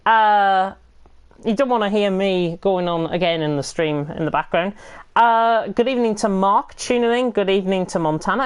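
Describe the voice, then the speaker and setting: A woman commentating live on an online racing league, croaky with a flu and rambling with frequent self-corrections. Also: lowish voice. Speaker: race commentator.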